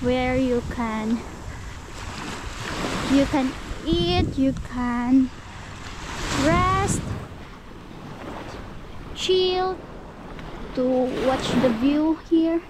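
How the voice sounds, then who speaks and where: A woman's voice: sits high, very slow, long pauses. A young woman from the Philippines, chatting directly to viewers as she walks along a beach with a handheld camera. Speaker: travel vlogger. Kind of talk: casual walking vlog. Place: outdoors on a beach. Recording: noisy outdoor beach audio. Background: waves and surf.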